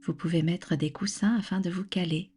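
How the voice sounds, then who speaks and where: A woman guiding a relaxation meditation as a yoga nidra instructor, with a soft, soothing, breathy delivery and measured, gentle instructions. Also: fairly low pitch, steady tone.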